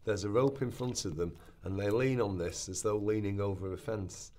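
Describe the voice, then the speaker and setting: Man, low pitch, scripted reading of old first-hand accounts, radio play rehearsal. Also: moderate pace, a little mellow. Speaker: reader of quoted historical accounts. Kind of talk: scripted dramatic reading of literary passages.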